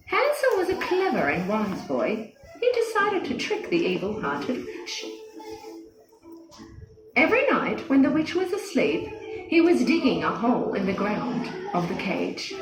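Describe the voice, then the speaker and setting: A high-voiced woman tells a scripted children's fairy tale. Her delivery is expressive and very lively in pitch, and the recording is echoey with music playing underneath. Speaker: storyteller.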